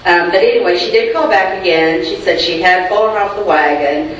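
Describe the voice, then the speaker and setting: A clinic screener with a naturally loud voice tells a chatty story at a group meeting. The voice sits in the middle range and sounds far from the mic in a very echoey room. The sound is dull, with faint background noise. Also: mid-range voice, a little fast.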